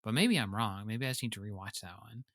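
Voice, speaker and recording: A man's voice: lowish voice, fast, fairly bright tone, no echo, clean studio sound. A man co-hosting a podcast in casual chat, reacting and musing with easygoing joking asides. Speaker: podcast co-host.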